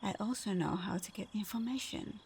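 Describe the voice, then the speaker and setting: A woman's voice: mid-range voice, a little fast, vocal fry, darkish tone, a little hiss or hum, lightly acted. A woman playing a sly, confident, flirtatious supervillain in an ASMR roleplay, teasing with a sultry, playful drawl. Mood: teasing, seductive.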